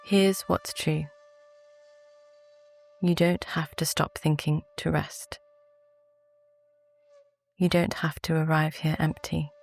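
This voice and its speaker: British woman, soft sleep-story narration, very slow pacing, slightly gravelly creak, calm and soothing. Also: sits low, soft background music. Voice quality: soft, gentle. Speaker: therapist narrating a guided sleep meditation.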